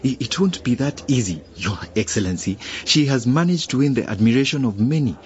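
A man acts a careful political aide in a radio drama. He is deferential and hesitant, stammering a little in a middle-pitched voice, with slightly muffled sound. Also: animated, fairly quick, darkish tone, natural voice. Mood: cautious, worried.

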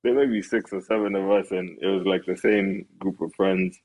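A man's voice: low voice, moderate pace, poor audio. A man in his thirties from Kenya, a farmer and entrepreneur, talking casually about his life with lots of fillers and restarts. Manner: relaxed, rambling with many fillers and restarts. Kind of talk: casual conversational storytelling.

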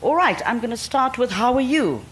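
A South African woman reading book extracts aloud to an audience, in an animated, playful manner with frequent laughs. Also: fairly high pitch, normal speed. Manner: animated, laughs often, playful quoting voices. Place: event room with an audience.

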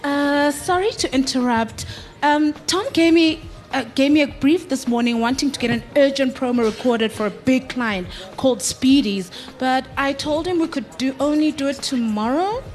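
A hesitant, chatty office colleague acted by a woman in a radio drama. The voice is high, and the delivery is informal and gossipy. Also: normal speed, a little mellow, lightly acted. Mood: apologetic. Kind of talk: live radio drama acting.

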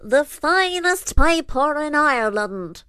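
A woman's voice: high-pitched, lively intonation, slow, bright, forceful tone, no room sound, studio quality, pitched above the performer's own voice, exaggerated voice. A woman voicing a mischievous, bossy male shapeshifting fairy creature with a snarling manner in a storybook tale. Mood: proud. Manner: snarling, commanding.

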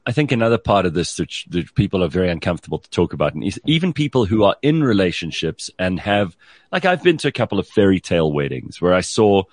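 A man's voice: fairly low pitch, dry recording. A man hosting a talk show, giving blunt opinions and putting questions in a conversational way.

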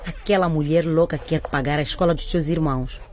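A woman's voice: sits low, steady tone, fairly quick, a little mellow, slight background noise, lightly acted. A woman playing a proud, stubborn, hard-working mother in a radio drama, brusque and indignant in her delivery. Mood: scolding, indignant. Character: proud, stubborn, struggling market-vendor mother, scolding and suspicious.

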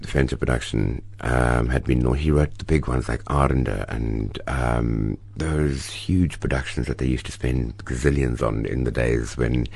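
A man's voice: rumbling low, a little slow, hardly any pauses, no echo. A middle-aged South African man, an actor, telling stories expressively in an interview. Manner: expressive, storytelling, animated bursts. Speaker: actor, guest. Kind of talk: interview conversation.

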